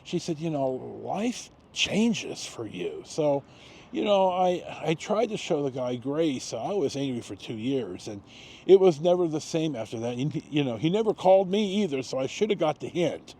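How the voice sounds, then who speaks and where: An American man in his sixties telling a personal story casually, rambling with long pauses and self-corrections. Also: a little high, sing-song, warm tone, breathy edge. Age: 60s. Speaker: video storyteller. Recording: noticeable background noise.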